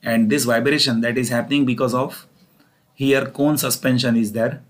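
A man's voice: mid-range voice, fairly even intonation, normal speed. A man lecturing as an engineering teacher, explaining step by step and often repeating himself.

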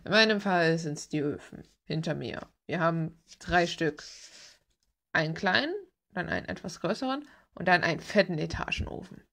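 A woman's voice: lowish voice, animated, normal speed, fairly bright tone. A young woman chatting casually in an informal, playfully ironic way, as a vlogger narrating over a drawing video. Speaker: vlogger and illustrator.

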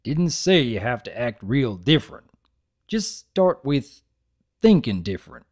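A laid-back, gently encouraging farm stallion from a cartoon story, played by a man. He talks in a folksy, plain-spoken way at a medium pitch. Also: very animated, measured pace.

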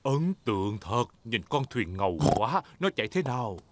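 A man's voice: medium pitch, big pitch swings, moderate pace, noisy background, close to an everyday voice. A man voicing a jolly, helpful father pig in a children's cartoon dub, with a southern Vietnamese accent. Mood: good-humoured. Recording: music behind the voice.

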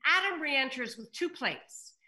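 Bright-voiced older woman reading stage directions. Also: sits high, expressive, unhurried. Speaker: table read host reading stage directions. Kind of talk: scripted narration. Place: room at home, on a video call. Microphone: computer mic on a video call.

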